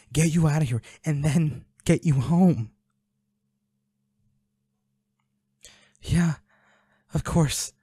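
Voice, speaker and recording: Slow, anguished devoted boyfriend, acted by a man. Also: on the high side, warm tone, slightly rough, no echo. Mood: urgent, reassuring. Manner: pleading, emotional, halting. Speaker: voice actor in audio roleplay. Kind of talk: intimate dramatic audio roleplay, one-sided dialogue.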